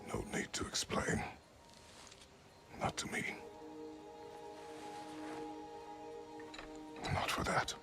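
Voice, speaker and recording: An actor playing a stoic, battle-weary warrior in a video game, with terse, measured, formal lines and a gravelly voice. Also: male voice, whispered, music in the background, lightly acted. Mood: quiet remorse. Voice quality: very deep, gravelly. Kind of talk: cinematic video game acting.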